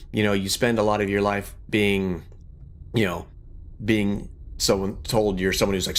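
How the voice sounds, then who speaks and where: A middle-aged man giving casual, reflective gaming commentary in an earnest, rambling way. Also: fairly low pitch. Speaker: gaming YouTuber.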